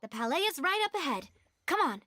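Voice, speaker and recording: A woman's voice: sits high, sing-song, a little fast, background noise. A woman playing a poised, determined young noblewoman carrying grief in an anime video game, with polished, earnest phrasing. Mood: resolute.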